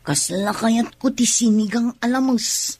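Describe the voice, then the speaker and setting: A woman's voice: on the high side, unhurried, a little mellow, slight background noise, lightly acted. A woman playing an irritable, curt pregnant young wife in an Ilocano comedy radio drama, speaking with an Ilocano accent. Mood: weary complaining. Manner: grumbling, curt, complaining.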